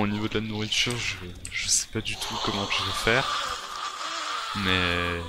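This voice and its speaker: A man improvising in-character survival gameplay commentary, thinking aloud in a hesitant, repetitive way. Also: sits low. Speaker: gaming video creator playing a survival roleplay. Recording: clearly noisy gameplay recording.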